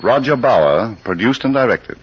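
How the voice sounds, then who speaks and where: A man with an American accent hosting a 1940s radio anthology with measured, formal scripted narration, on a muffled, noisy old recording. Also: mid-range voice, expressive.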